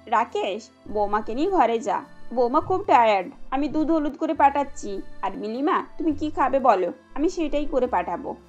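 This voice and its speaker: Woman playing a high-voiced, kindly mother-in-law. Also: animated, moderate pace, lo-fi sound, faint music behind. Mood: affectionate, insistent. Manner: motherly, gently insistent. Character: kindly, caring middle-aged mother-in-law, protective and reassuring.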